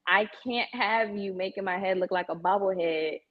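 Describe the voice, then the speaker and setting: A woman chats candidly as a salon client and speaks bluntly, with plenty of slang. Her voice sits mid-pitched. The recording sounds slightly dull and lo-fi. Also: moderate pace. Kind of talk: casual chat.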